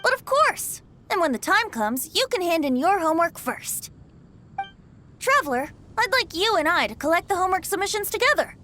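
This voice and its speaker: A woman's voice: very high-pitched, sing-song, moderate pace, sharp tone, a little hiss or hum, heavily acted. A woman voicing a mischievous young male bard in a video game, theatrical, flowery and teasing. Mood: grandiose, cheerful. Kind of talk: animated video game voice acting.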